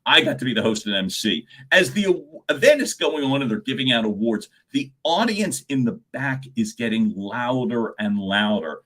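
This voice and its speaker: Loud, animated male speaking coach. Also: normal speed, low-quality recording. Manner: animated, swings pitch and volume, chuckles often. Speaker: communication coach hosting a live stream. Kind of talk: live-streamed coaching talk.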